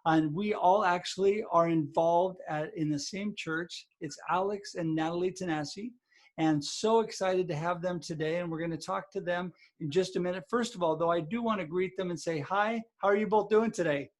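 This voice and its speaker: A man's voice: high voice, moderate pace. A man hosting a podcast, upbeat and promotional as he introduces his guests. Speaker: podcast host.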